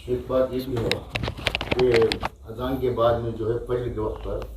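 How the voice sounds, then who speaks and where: A man's voice: medium pitch, level tone, unhurried. A man asking wordy, informal questions as an interviewer, away from the microphone. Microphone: off-mic, away from the microphone. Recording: echoey, distant, loud background noise.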